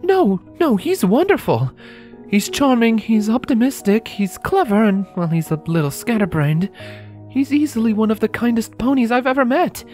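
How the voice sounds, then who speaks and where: A woman's voice: on the high side, lively intonation, normal speed, a little mellow, faint music behind, close to an everyday voice. A woman voicing a tender, conflicted adult unicorn with an earnest, formal, hesitant manner, in a fan fiction drama. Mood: fond, flustered.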